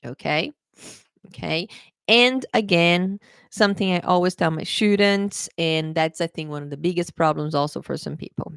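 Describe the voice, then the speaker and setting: A woman's voice: on the low side, moderate pace, vocal fry, clear, resonant tone, no room sound, studio quality. A young adult woman from Brazil with a Brazilian Portuguese accent, an English teacher chatting through a live-streamed exam prep lesson, repeating key points and calling viewers "guys.".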